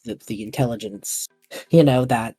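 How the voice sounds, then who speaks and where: A man with a husky, relaxed voice hosts a casual podcast chat. He talks haltingly and reshapes his questions mid-thought, recorded close and dry with music underneath. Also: mid-range voice, dry recording, low-quality recording. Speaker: podcast host interviewing a guest. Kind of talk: casual podcast chat about tabletop roleplaying shows.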